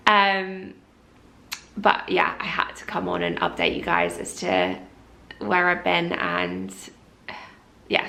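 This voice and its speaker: A woman's voice: mid-range voice, vocal fry, sharp tone. A young woman vlogging in a casual, rambling and apologetic way while congested with a cold. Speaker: lifestyle vlogger. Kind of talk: casual chat to camera.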